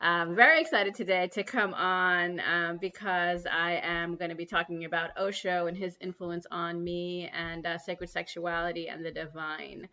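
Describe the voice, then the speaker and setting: A woman's voice: on the low side, fairly even intonation, moderate pace, few pauses, bright, forceful tone. A woman from New City, hosting a spiritual livestream and talking earnestly with many ums and restarts. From New York City. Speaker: livestream host. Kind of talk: spiritual livestream talk and guided prayer. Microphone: computer mic on a livestream. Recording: dull lo-fi livestream audio.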